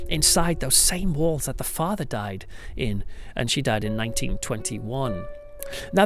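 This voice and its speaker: A man hosting a podcast in a reflective, semi-scripted monologue, earnest and thoughtful, with emphatic, dramatic phrasing. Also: mid-range voice. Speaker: podcast host, church pastor. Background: background music.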